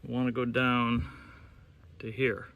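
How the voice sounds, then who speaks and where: A man's voice: mid-range voice, completely flat delivery, unhurried, lo-fi sound. A man casually narrating a hike outdoors on a trail in a plainspoken, matter-of-fact way. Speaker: hiking vlogger. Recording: outdoor recording with clear background noise. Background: outdoor nature ambience.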